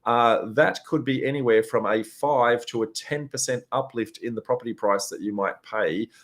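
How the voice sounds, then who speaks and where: A man with a slightly raspy, hoarse voice, hosting a live question-and-answer session in a chatty way with lots of filler words. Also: medium pitch, moderate pace, a bit bright. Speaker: host.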